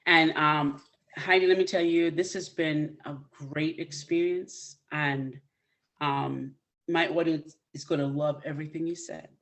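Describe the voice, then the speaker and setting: A woman's voice: low-pitched, fairly even intonation, unhurried, fairly bright tone. A woman hosting an interview podcast, talking enthusiastically and emphatically urging her listeners on. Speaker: podcast host.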